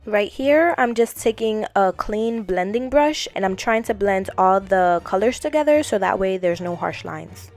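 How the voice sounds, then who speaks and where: A young woman with a raspy, hoarse voice after losing it, chatting casually through a makeup tutorial and giggling now and then. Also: mid-range voice, expressive, a little slow, hardly any pauses, faint music behind. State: hoarse, lost voice. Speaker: beauty vlogger.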